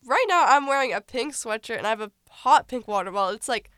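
A woman's voice: fairly high pitch, expressive, fast, fairly bright tone, dry recording, studio quality. A teenage girl co-hosting a casual podcast, stuffy from a cold, rambling through stories with lots of "like". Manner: rambling storyteller, heavy use of "like". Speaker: podcast co-host. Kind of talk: casual chat.

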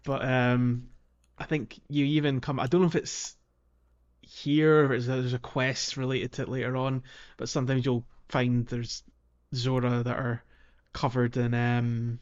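Guy hosting a casual gaming podcast, medium pitched voice, a bit hesitant, slightly muffled lo-fi sound. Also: level tone, unhurried, clean tone. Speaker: podcast host. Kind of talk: casual video game discussion.

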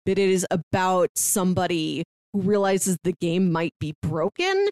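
A woman co-hosting a podcast over a remote call, chatting casually and excitedly, with lots of "like" as she talks. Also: on the low side, moderate pace, no room sound, studio quality. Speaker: podcast co-host. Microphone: remote call mic.

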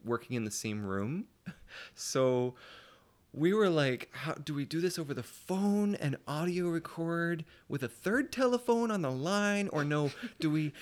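A man's voice: a little high, animated, a little slow. A man speaking as an interview guest in casual chat, loose and giggly, often trailing off mid-thought.

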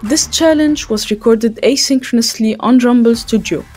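A woman hosting a podcast, delivering prepared questions and scripted lines in a measured, formal way. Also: a little high, over music. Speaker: podcast host.

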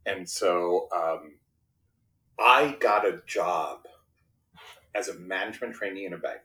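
A man's voice: low-pitched, slow, sharp tone, low-quality recording. A man in his fifties from Brooklyn, New York, whose first language was Spanish, talking casually as an interview guest and checking in often with "right?". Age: 50s. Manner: self-deprecating, checks in often with tag questions.

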